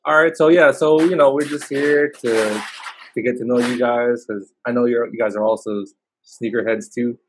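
A man's voice: medium pitch, steady tone, moderate pace, slight background noise, poor audio. A man hosting a podcast interview, talking in a laid-back, slangy way with lots of fillers. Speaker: podcast host. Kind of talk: casual interview chat.

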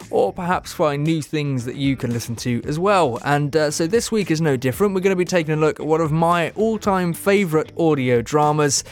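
A man hosting a podcast in an informal, conversational presenting style. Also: fairly high pitch. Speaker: podcast host. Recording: faint music bed behind.

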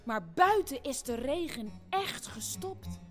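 A woman's voice: sits high, lively intonation, takes it slowly, soft background music. A woman acting an imaginative eldest sister who tells the tale expressively in a family audio play. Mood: gentle narrating.

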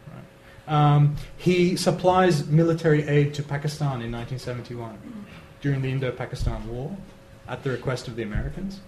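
A man giving an analytical academic talk, measured and steady, with fillers. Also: medium pitch. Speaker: lecturer on Iran. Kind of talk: academic lecture answer. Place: lecture hall. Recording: roomy hall, faint noise.

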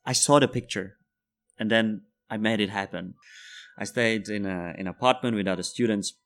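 A man in his thirties from the Czech Republic, a bartender guest in an interview, speaking English as a second language and telling animated stories with laughter. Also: lowish voice, moderate pace. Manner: animated storyteller, laughs while telling anecdotes. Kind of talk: casual interview storytelling.

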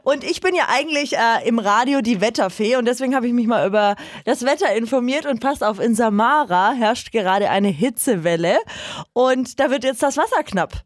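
A woman's voice: fairly high pitch, lively intonation, fairly quick, no echo, studio quality. A woman co-hosting a football chat, chatty and giggly, who works as a radio weather presenter. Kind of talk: casual chat.

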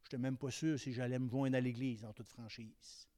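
A man in his fifties with a Québécois French accent, preaching a sermon that swings between raised exhortation and quiet, confiding asides. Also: mid-range voice, steady tone, a little fast, dry recording. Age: 50s.

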